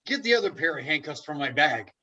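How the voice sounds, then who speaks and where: A man playing an awkward, earnest reluctant hero with halting, dry understatement in a comedic script reading. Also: fairly high pitch, big pitch swings, sharp tone, lo-fi sound, slight background noise, full character voice. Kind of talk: comedic script table read.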